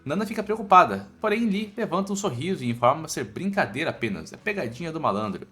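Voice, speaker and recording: A man's voice: fairly high pitch, big pitch swings, fast, a bit bright. A man with a Brazilian accent narrating a scripted story recap in an informal, slangy, irreverent way. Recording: music bed under the voice. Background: background music.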